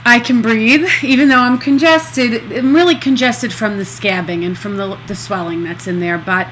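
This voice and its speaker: A middle-aged woman in a casual video diary, talking slowly and earnestly with a congested nose and many pauses. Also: a little high, a bit bright, a little hiss or hum, low-quality recording. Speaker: vlogger recovering from nose surgery.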